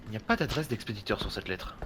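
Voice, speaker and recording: An actor playing a brooding, laconic adventurer and master of the house in a French audio drama, dry and wry. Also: male voice, on the low side, sing-song, fast, background noise. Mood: dry, calm.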